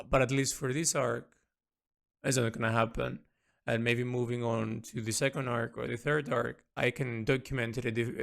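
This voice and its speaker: A man with a gravelly, creaky voice at an average pitch records an unscripted audio log. He speaks earnestly and reflectively, often halting and doubling back on words as he works out his thoughts. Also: a bit bright. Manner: halting, repeats words as he thinks aloud; earnest, introspective.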